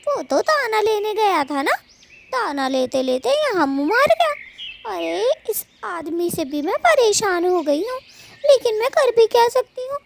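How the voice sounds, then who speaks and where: A fiery little female cartoon bird, extremely high and creaky. She scolds and gossips in bossy, dramatic bursts with big swoops in pitch, over faint background noise. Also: big pitch swings, measured pace, exaggerated voice. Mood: aggrieved, scolding. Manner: scolding, chatty, dramatic colloquial delivery. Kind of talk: children's moral-story cartoon dubbing.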